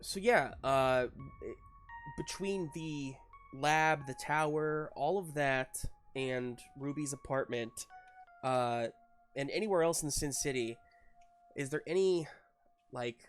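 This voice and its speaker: A man running a tabletop roleplaying game as game master, narrating off the cuff in a halting, informal way. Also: a little high.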